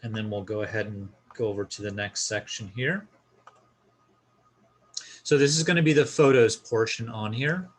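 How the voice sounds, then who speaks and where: A man's voice: fairly low pitch, moderate pace, pauses often, slight background noise. A man presenting an informal step-by-step tutorial, explaining a screen share in a casual instructional style.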